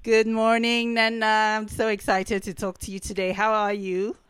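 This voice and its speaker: A woman's voice: mid-range voice, monotone, moderate pace, fairly bright tone, clean tone, no room sound. A woman hosting a podcast interview, reading a prepared introduction and then chatting in a friendly, upbeat way with her guest. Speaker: podcast host.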